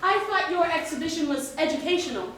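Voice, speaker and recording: A woman playing a brisk, officious small-town commissioner in a theatrical, projected stage style. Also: very high voice, a bit bright. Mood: brisk, polite. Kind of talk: musical theatre stage acting. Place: staged reading before live audience. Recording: echoey hall, distant mic, faint noise.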